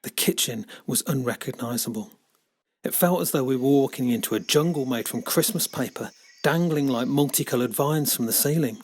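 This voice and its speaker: A man reading a children's story as scripted narration, expressive and dramatic in his delivery. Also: medium pitch, normal speed. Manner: expressive, dramatic character shifts. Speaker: author reading own book.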